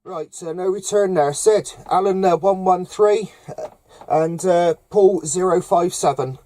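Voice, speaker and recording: A man with a hesitant, halting delivery, making casual CB radio contacts with call signs and jargon. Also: sits high, background noise. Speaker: amateur CB radio operator running contacts. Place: camper van up in the hills.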